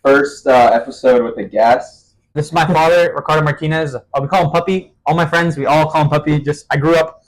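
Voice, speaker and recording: A man's voice: on the high side, fairly quick, rough recording. A man hosting a podcast, interviewing casually. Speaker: podcast host.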